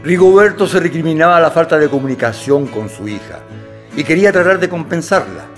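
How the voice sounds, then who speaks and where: A man's voice: fairly high pitch, lively intonation, fairly quick, soft background music, lightly acted. A man narrating a scripted radio drama as a composed, literary storyteller.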